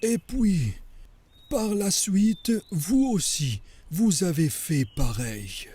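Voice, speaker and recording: Husky, solemn, high-pitched cartoon sheikh. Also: male voice, very animated, mellow, exaggerated voice. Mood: solemn teaching. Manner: measured, grave, preaching. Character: pious, solemn sheikh teaching about the prophet. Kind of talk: French cartoon dubbing.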